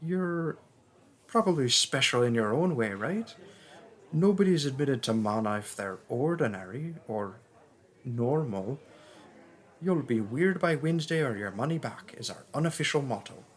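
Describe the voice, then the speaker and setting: A man's voice: medium pitch, animated, measured pace, close to an everyday voice. A man voicing a friendly, chatty young mage student in a roleplay audio drama, speaking casually and a little hesitantly, straight to the listener. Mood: reassuring. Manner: casual, rambling, hesitant, jokey.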